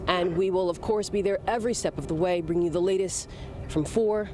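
Woman field reporter, brisk live delivery, handheld mic, road noise. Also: fairly low pitch. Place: inside a moving bus.

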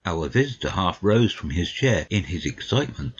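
A man's voice: low voice, very animated, normal speed, husky. A man narrating a literary audiobook from a script. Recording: slightly dull, lo-fi audio.

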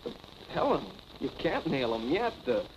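An actor playing a lovesick, slow-witted young prizefighter with slangy tough-guy talk in a 1940s film. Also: mid-range voice, very animated, lightly acted. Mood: agitated, wounded. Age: young adult. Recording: noisy vintage film soundtrack.

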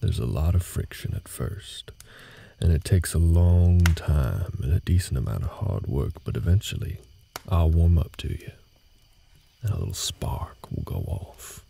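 Man playing a gravelly, very deep gruff cowboy. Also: big pitch swings, dark tone, slight background noise, exaggerated voice. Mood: wry, confiding. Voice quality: gravelly, husky low voice. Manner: slow, halting, folksy, reserved. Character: gruff, guarded cowboy ranch hand patiently teaching a newcomer. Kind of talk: intimate scripted audio roleplay, slow speech to the listener.

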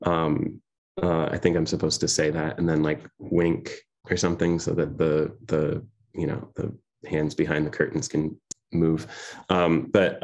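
A man in his thirties, a poet from Iran, giving a soft-spoken, hesitant poetry reading over a home video call. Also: sits low. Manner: soft-spoken, hesitant with many fillers between poems. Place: home room with framed pictures on the wall. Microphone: laptop webcam video call.

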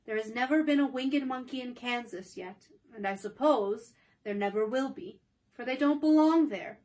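A woman voicing a dignified winged monkey king in a storybook reading, speaking slowly and formally. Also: on the high side, darkish tone, natural voice. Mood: grave.

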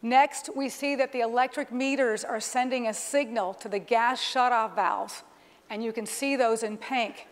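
High-voiced woman presenting in a hall. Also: fairly even intonation, normal speed. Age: middle-aged. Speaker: corporate executive presenting a keynote demonstration. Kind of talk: live stage presentation, explanatory commentary. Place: large conference stage, indoors. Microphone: clip-on lapel microphone. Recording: reverberant hall sound through PA.

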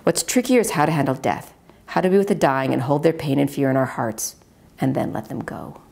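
A middle-aged woman with an American accent reads literary memoir aloud. Her voice sits very low and has a lot of fry. The delivery is measured and earnest, as an author reading her own work. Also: expressive, darkish tone. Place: at a wooden table in a home dining room.

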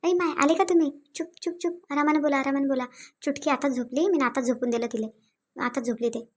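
A woman voicing a dutiful, gentle young wife and mother in a family cartoon, soft and coaxing, with a Varhadi Marathi accent. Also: high-pitched, fast. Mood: hushed. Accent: Varhadi Marathi of Vidarbha.

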